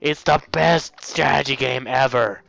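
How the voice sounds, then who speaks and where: A man's voice: medium pitch, measured pace, gravelly, a bit bright. A young man reading a visual novel aloud as a let's play host, playfully commenting and stumbling over lines. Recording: dull, lo-fi mic with music. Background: game music.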